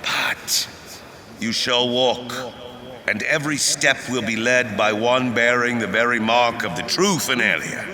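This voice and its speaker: A man's voice: mid-range voice, unhurried, pushed and bright. A man playing a grandiose, solemn leader delivering stately, zealous oratory in a theatrical scripted speech. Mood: grave, commanding. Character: grandiose male leader rallying a nation with solemn zeal. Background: music.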